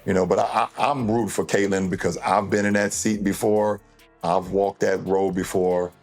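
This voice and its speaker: A man's voice: sits low, little pitch movement, normal speed, a little mellow, faint music behind. A man giving casual, off-the-cuff interview remarks.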